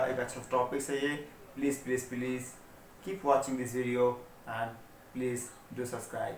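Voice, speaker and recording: An Indian man hosting a casual tech tutorial, talking to viewers in a repetitive, pleading way. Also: mid-range voice. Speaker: tech tutorial channel host. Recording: noisy amateur recording with background ambience.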